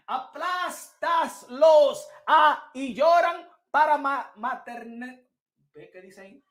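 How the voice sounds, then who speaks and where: Excitable man ranting about boxing. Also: extremely high pitch, slow pace, long pauses. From Dominican Republic. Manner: excitable, swears, mimics others, switches into Spanish. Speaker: boxing podcast host. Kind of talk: heated live-stream rant, reading chat.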